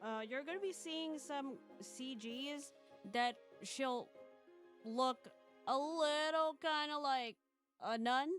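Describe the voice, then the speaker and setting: A woman hosting a casual live stream, talking in a rambling, halting way. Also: high voice, a bit bright. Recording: music behind the voice. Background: background music.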